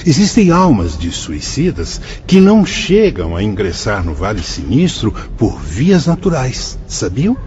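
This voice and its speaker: A solemn, wise spirit guide. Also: male voice, fairly low pitch, huge pitch swings, a little slow, few pauses, darkish tone, muffled recording, slight background noise. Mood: explaining. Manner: solemn, dramatic, sweeping phrasing. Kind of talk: radio drama acting.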